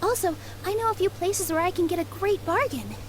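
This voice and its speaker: A woman's voice: very high voice, lively intonation, fairly quick, noisy background. A woman playing a cheerful, helpful young woman, upbeat and chatty, in an anime game.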